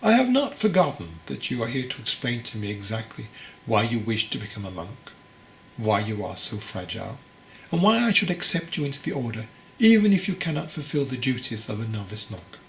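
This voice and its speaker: Guy doing a wise old monk master for a storybook, patient n teasing, measured, bit of noise behind. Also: medium pitch, big pitch swings. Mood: serious, probing. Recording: clearly noisy recording.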